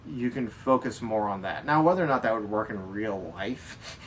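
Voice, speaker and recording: A man's voice: fairly low pitch, normal speed. An American man co-hosting a podcast in casual chat, explaining things in a rambling way. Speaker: podcast co-host answering listener questions. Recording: distant mic, background noise.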